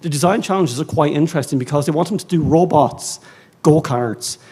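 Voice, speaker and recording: An Irish man speaking as a librarian on a conference panel, rambling and animated, with many fillers and self-mocking asides. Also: fairly high pitch, a little fast, slightly rough. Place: conference stage.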